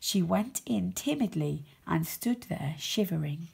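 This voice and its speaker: Female storyteller, children's narration, lively intonation, creaky edge, expressive, dramatic emphasis. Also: lowish voice, measured pace. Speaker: storyteller, teacher reading aloud.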